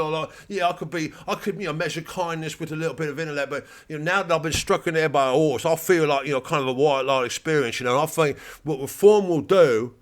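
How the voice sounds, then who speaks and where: A man's voice: a little high, a bit bright, voice pushed up, lightly acted. A man voicing a dazed fellow who was kicked in the head by a horse and rambles earnestly.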